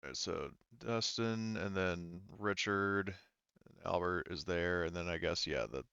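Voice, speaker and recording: A man's voice: low-pitched, a little slow, vocal fry, sharp tone, clean tone, no echo, lo-fi sound. A man casually narrating a tabletop game as game master, rambling and thinking aloud as he goes.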